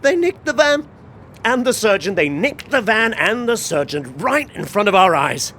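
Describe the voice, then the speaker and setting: A man's voice: sits high, big pitch swings, moderate pace, sharp tone, a little hiss or hum, heavily acted. A man playing an exasperated British agent in a comedic audio drama, exclamatory and incredulous. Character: exasperated, excitable male British junior agent.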